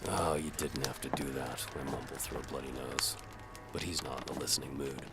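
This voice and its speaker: A man playing a sardonic, world-weary medieval bow for hire, narrating in a wry deadpan as scripted audio drama. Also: a bass voice, fairly quick, loud music behind the voice. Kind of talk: first-person scripted narration, hard-boiled audio drama.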